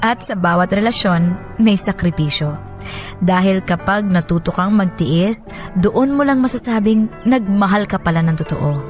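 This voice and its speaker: A woman radio host reading listener stories and messages in an expressive, sentimental way. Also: mid-range voice, normal speed. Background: music bed.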